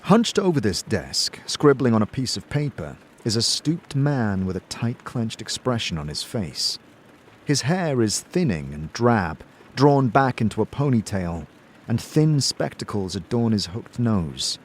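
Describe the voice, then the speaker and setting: A man's voice: medium pitch, sing-song, a little slow, slight background noise. A man reading scripted, dramatic narration as the narrator of a fantasy audio series.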